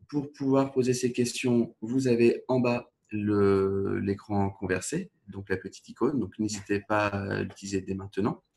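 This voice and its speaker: A man's voice: lowish voice, gravelly, a little mellow. A man hosting a live webinar, making orderly welcome announcements. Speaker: webinar host.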